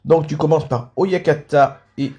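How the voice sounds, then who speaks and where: A man's voice: on the high side, unhurried, darkish tone. A man hosting and casually commentating on video game matches, slightly stuffed up with a cold. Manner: frequent throat clearing and coughing.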